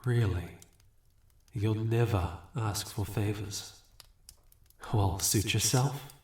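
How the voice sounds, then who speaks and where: A man playing a suave, amused demon in a fantasy audio roleplay, condescending and teasing with unhurried courtesy. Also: lowish voice. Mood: tempting, amused. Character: suave, amused, bargaining male demon, ageless and smug. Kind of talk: scripted fantasy audio roleplay addressed to listener. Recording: roomy echo, distant from mic.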